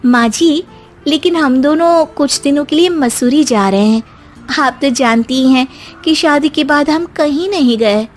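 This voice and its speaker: A woman narrator voicing a young married daughter-in-law in an animated story, polite and sweetly explanatory but quietly assertive. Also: high-pitched, a little slow, soft background music, pitched above the performer's own voice. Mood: polite explaining.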